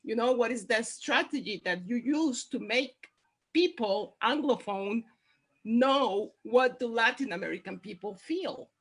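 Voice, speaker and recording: This is a woman writer putting a question to the panel. Her voice is husky and a little high, and she rephrases carefully as she works toward what she wants to ask. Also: animated, unhurried.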